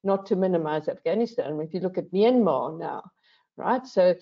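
A woman's voice: on the low side, lively intonation, moderate pace, gravelly. An older woman speaking as a panel guest, earnest and emphatic, often posing questions to herself and adding "right?". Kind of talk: reflective discussion. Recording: dull lo-fi video call audio.

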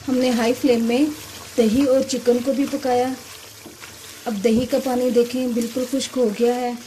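A woman hosting a cooking video, giving calm step-by-step instructions and softly murmuring a blessing before each ingredient goes in. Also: a little high, moderate pace. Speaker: cooking channel host. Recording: noisy kitchen recording with sizzling. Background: frying and sizzling in the pan.

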